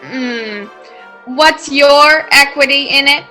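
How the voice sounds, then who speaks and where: Merciless female tycoon, played by an actress: sharp, high voice, menacing contempt, radio drama. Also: slow pace, faint music behind, exaggerated voice, poor audio. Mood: coldly mocking. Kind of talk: old-time radio play acting.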